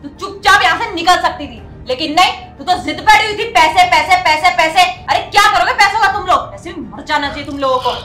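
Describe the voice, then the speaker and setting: A woman's voice: very high voice, animated, fast, few pauses, sharp tone, full character voice. A young woman playing a haughty, cruel customer in a melodramatic skit, scolding with contempt. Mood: angry, threatening. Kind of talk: melodramatic scripted Hindi skit acting. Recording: roomy echo, faint music behind.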